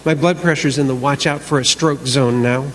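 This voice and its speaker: A man's voice: mid-range voice, moderate pace, a little hiss or hum, lightly acted, rough recording. A man performing a dramatic monologue as a bitter, sleepless man who obsesses over a grudge, aggrieved and sarcastic. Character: bitter, sleepless man brooding over a feud with his neighbour. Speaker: reader in a church service skit.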